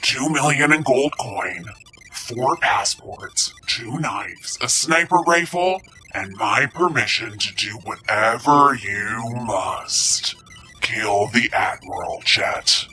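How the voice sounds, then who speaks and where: A man playing a secretive handler whose voice sounds synthesized, speaking in slow, ominous, commanding tones in an audio drama. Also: medium pitch, expressive, bright, forceful tone, faint music behind, full character voice, low-quality recording. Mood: grim orders. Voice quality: synthesized, processed voice.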